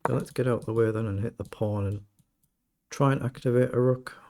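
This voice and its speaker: A British man with a slightly croaky voice, casually commentating his own chess game and thinking aloud. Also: medium pitch, fairly even intonation, a little fast, a little mellow. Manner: thinking aloud, self-questioning. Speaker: chess streamer.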